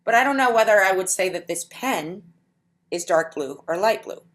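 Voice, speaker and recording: A woman giving a conference talk in a deliberate, explanatory way, slowing down to stretch key words. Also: mid-range voice, lively intonation. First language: English. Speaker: conference speaker. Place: home setup for a virtual conference.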